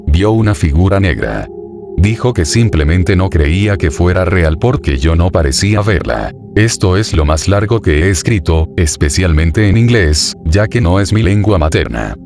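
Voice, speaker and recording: A man narrating a first-person horror story in a steady, even reading voice. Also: deep voice. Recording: narration over clear background music. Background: background music.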